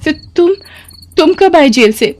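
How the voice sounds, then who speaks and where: A young village daughter in a moral tale, put on by a woman storyteller. The voice is high and urgent, frightened but indignant, full of pleading protest. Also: lively intonation, unhurried, a little mellow. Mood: startled, indignant.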